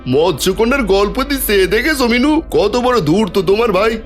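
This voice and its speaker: A man's voice: sits high, expressive, moderate pace, soft background music. A man playing a cunning, jealous village schemer in a cartoon story, speaking with urgent insinuation. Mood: accusing, urgent.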